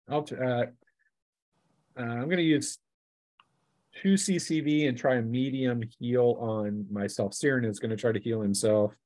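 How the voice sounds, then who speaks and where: Male game master, mid-pitched, explaining rules and describing scenes with a halting, improvised delivery. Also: a little mellow. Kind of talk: improvised tabletop game narration.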